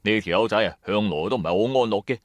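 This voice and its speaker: A man's voice: lowish voice, fast, no echo, natural voice. A man playing a shrewd, wry trader who narrates his own adventures in colloquial, sardonic radio-drama style. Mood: musing, storytelling. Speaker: narrator and lead character.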